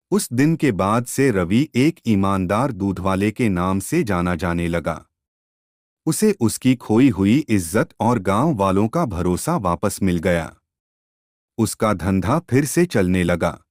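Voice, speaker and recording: A man narrating a scripted moral story in a measured, instructive way. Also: lowish voice, darkish tone, no room sound, studio quality.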